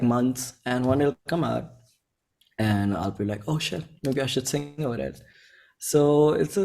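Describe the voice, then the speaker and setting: A man's voice: medium pitch, a little mellow. Young man chatting casually. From India. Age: 20s. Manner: informal, rambling, thinks aloud with frequent "like". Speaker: musician, interview guest. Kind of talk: casual interview chat.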